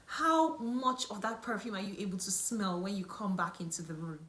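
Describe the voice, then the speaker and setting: A woman's voice: mid-range voice, moderate pace, a bit bright. A woman beauty and lifestyle vlogger, whose first language is not English, explaining things to camera in a chatty, self-correcting way. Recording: echoey room with faint music.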